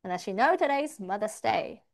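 A woman's voice: mid-range voice, lively intonation, brisk, gravelly. Woman interpreting a church talk. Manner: renders his words line by line in the first person. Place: church service.

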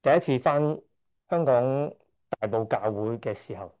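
A man's voice: mid-range voice, lively intonation, normal speed, a little mellow, a little hiss or hum. Hesitant middle-aged man from Hong Kong. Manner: hesitant, stops to correct himself, chuckles shyly. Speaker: church member giving testimony. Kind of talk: church testimony speech. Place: church service.

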